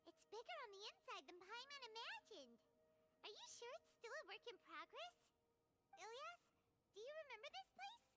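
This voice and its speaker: A woman's voice: very high voice, sing-song, moderate pace, heavy background noise. A woman voicing a tiny, chirpy female companion who talks about herself in the third person. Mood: curious. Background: game music.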